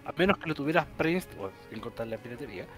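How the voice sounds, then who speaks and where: A man's voice: mid-range voice, expressive, fast talker, a bit bright, faint music behind. A Chilean man co-hosting a video game news stream over a video call, arguing his points with many restarts. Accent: Chilean Spanish. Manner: opinionated, thinks aloud with restarts. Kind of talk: casual panel discussion. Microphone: headset on video call.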